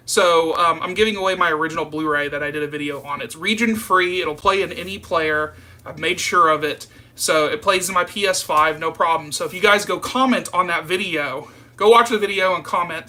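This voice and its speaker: A man's voice: on the high side, bright, forceful tone. A man, chatting casually and informally as he hosts a live stream and answers viewer comments. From southern United States. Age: early 30s. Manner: informal, rambling, addressing viewers as "you guys".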